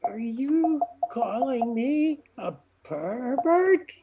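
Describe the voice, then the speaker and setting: A woman's voice: sits high, lively intonation, background noise, full character voice. A woman playing a guileless, hard-of-hearing hundred-year-old man in a radio comedy play, talking in a muddled, elderly way. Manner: slow, muddled elderly talk.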